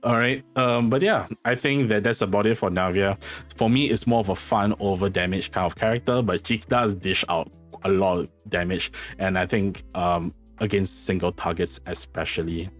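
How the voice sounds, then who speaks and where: A fairly low-pitched man with a slightly hoarse voice, talking informally through a game guide. He rambles and corrects himself often. Faint music plays behind him. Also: fairly even intonation, moderate pace. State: losing his voice, slightly hoarse. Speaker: gaming channel host. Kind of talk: casual game guide commentary.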